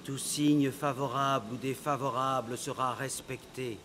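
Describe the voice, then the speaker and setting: An actor playing a solemn Gallic priest, declaiming an invocation to the gods in an animated historical drama. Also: male voice, mid-range voice, slight background noise, close to an everyday voice. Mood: reverent, imploring. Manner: slow, declaimed incantation.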